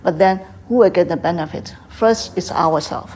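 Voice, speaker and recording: An older woman from Taiwan with a Taiwanese accent, answering interview questions in halting English with frequent fillers. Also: lowish voice, darkish tone, slight background noise. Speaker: guest, tea house owner.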